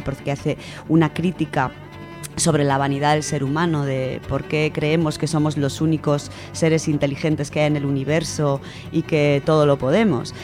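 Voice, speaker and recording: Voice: medium pitch, darkish tone. A radio presenter with an accent from Spain, talking about books in a chatty, enthusiastic way. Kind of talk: conversational radio book talk. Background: cello music.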